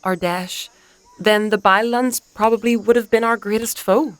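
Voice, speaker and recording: A woman's voice: medium pitch, fairly even intonation, no echo, lightly acted. A woman acting a composed, wryly teasing priestess. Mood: earnest, reflective. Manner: measured, articulate, playfully dry. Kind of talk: dark fantasy audio drama acting.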